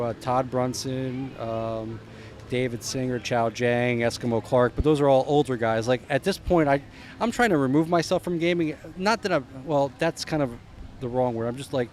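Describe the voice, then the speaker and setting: A middle-aged man from New Jersey talks as a podcast guest, wandering through stories and piling up names with plenty of pauses. His voice sits at an ordinary pitch. A faint hum of city ambience runs underneath. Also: darkish tone. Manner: rambling storyteller, drops names, trails off with "uh". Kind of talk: casual chat.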